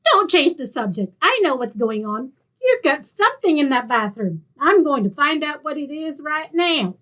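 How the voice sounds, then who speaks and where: Casting: actress as suspicious, prying older landlady for radio comedy; high voice, very animated and emphatic. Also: normal speed. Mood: accusing. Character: nosy, suspicious, strict landlady, older woman. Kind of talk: old-time radio sitcom acting.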